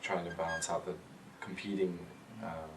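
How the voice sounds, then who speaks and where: Young guy with a low flat voice, chill and full of ums, recorded far off in an echoey room with noise behind. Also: normal speed. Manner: relaxed, thinking aloud with many fillers. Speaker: interviewee, rover systems engineer. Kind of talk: casual interview answers. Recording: roomy, distant mic, clear background noise.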